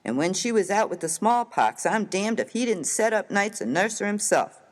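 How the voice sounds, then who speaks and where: A man playing a rough, hearty, good-natured American miner of the Old West in a dramatised story reading, with slangy, folksy, emphatic speech. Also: high voice, very animated, normal speed. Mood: hearty, sincere.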